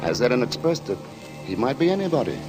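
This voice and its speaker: An actor playing a measured, ominous narrator of a 1940s-style radio suspense drama. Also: male voice, medium pitch, very animated, close to an everyday voice, poor audio. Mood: grave, ominous. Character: grave, suspenseful male storyteller of a murder tale. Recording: old radio recording under loud music.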